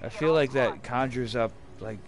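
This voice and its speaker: A man's voice: mid-range voice, moderate pace. A man hosting a casual gaming stream, chatting with friends in a rambling, self-correcting way and laughing easily. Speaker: streamer and host.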